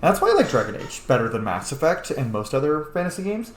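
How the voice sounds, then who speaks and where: A man's voice: fairly high pitch, very animated, fast. A man giving casual, rambling, opinionated gameplay commentary with some swearing. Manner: opinionated, profane. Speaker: gameplay host. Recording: echoey room, faint noise.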